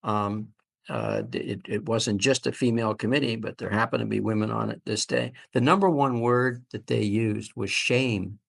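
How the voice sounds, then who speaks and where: A man in his seventies answering as an interview guest, speaking conversationally and haltingly, often repeating words as he reflects. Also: on the low side, expressive, gravelly. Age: 70s. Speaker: fertility doctor, interview guest.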